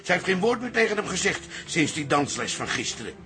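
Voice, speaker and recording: A man's voice: high-pitched, big pitch swings, brisk. A man acting in a radio drama as a meddling older father-in-law with a folksy, wry, needling manner. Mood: needling, smug.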